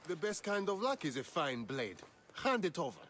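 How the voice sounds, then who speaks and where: An actor playing a smug, sardonic ancient Greek commander in a video game, mocking and commanding. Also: male voice, a little high, sing-song, normal speed, a bit bright. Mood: smug, businesslike. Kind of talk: video game dialogue acting.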